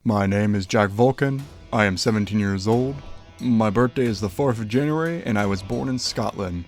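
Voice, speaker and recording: A man playing a reserved, polite teenage blacksmith in an amateur audio drama, calm and matter-of-fact. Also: lowish voice, moderate pace, faint music behind, natural voice.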